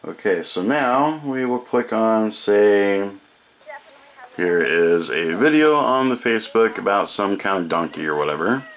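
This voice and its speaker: A man's voice: fairly low pitch, lively intonation, unhurried, fairly bright tone. An American man giving a casual product demonstration, explaining each feature in a matter-of-fact way. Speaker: product reviewer.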